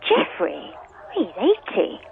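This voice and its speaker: An actress playing an eager, gushing solicitor. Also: female voice, on the high side, wildly swooping pitch, takes it slowly, gravelly, phone-quality sound, a little hiss or hum, heavily acted. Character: eager female solicitor, thwarted.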